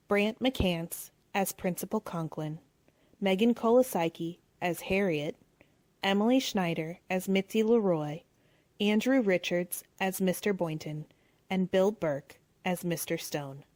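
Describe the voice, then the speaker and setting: A woman's voice: fairly low pitch, a little mellow, no echo. A woman reading a scripted credits announcement as the announcer of a radio play.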